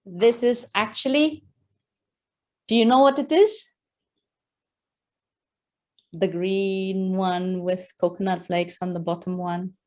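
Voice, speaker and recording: A woman's voice: mid-range voice, slow, long pauses, darkish tone. A woman from West Java with an Indonesian accent, giving a lecture and quizzing her audience with questions. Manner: engaging, quizzes the audience, frequent rhetorical "right?"; enthusiastic, laughs often. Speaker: guest lecturer.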